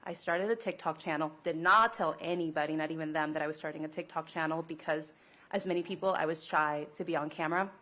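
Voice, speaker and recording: A woman's voice: sits low, fairly even intonation, fast talker, pushed and bright. A woman from Mexico telling her personal story as a business founder in public testimony, informal and enthusiastic. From Monterrey, Mexico.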